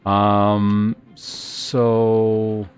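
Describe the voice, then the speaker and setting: A man chatting casually on a game livestream, thinking aloud in hesitant, trailing half-sentences with a gravelly fry to his voice. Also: fairly low pitch, completely flat delivery, drawn-out words. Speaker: game streamer. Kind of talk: casual livestream gameplay chat. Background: faint game music.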